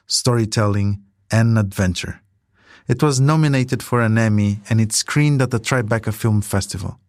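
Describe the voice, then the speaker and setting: A man's voice: medium pitch, no room sound, studio quality. A man narrating a scripted documentary podcast as its storyteller.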